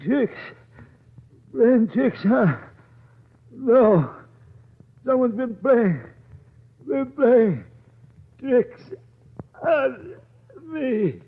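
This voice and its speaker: An actor playing a sick, fearful, feeble manservant in an old radio drama, halting and groaning. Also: male voice, very high-pitched, very animated, slightly rough, full character voice. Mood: anguished, bewildered. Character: sick, dying servant man, fearful and feeble. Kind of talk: old-time radio acting. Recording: muffled, narrow vintage radio recording.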